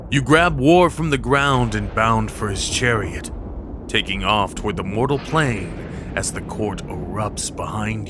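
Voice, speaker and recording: A man narrating a scripted dramatic story in the second person, with a measured, solemn manner. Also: lowish voice, noisy background. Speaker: narrator and voice performer. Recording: prominent music under the voice. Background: dramatic background music.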